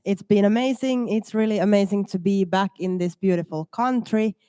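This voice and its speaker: A woman's voice: mid-range voice, moderate pace, mellow, smooth, no echo, studio quality. A woman in her twenties, a student panelist who speaks enthusiastically. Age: 20s. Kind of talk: panel discussion.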